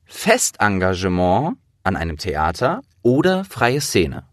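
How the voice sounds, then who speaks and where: A low-pitched man hosts a relaxed, chatty interview. The recording is dry and close, with little room sound. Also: slow pace, no echo. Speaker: interviewer and host; trained voice actor.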